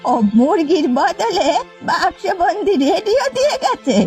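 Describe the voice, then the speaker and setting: Cartoon old village woman played by a woman, indignant and doting, high, very animated delivery, faint music behind. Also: a little slow, heavily acted. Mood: dismayed, vengeful. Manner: exclamatory, dramatic complaining. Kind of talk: animated folk-tale cartoon acting.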